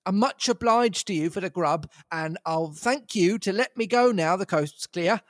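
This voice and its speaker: A man's voice: high voice, expressive, normal speed, no echo, studio quality, pitched above the performer's own voice. A man voicing a down-and-out male tramp who talks in a beggar's whine. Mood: aggrieved. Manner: wheedling, then blustering.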